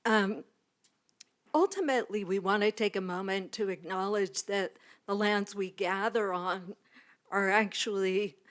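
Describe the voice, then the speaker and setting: A woman professor giving a formal land acknowledgement at a graduation ceremony, in a measured, earnest delivery that is partly read aloud. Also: medium pitch.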